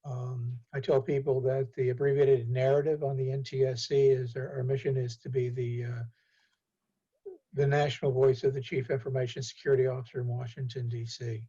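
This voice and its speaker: Male host for an online policy panel; flat, mellow tone, muffled call audio. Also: medium pitch, slightly rough. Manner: many filler pauses, steady and cordial. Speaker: host and executive director. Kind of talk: event introduction. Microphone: video call.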